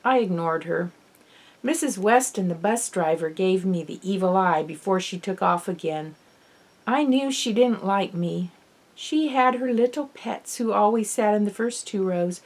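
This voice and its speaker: A woman author reading her own children's novel aloud in the first person, as expressive, dramatic storytelling. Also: medium pitch, unhurried. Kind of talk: audiobook narration of children's fiction, first person.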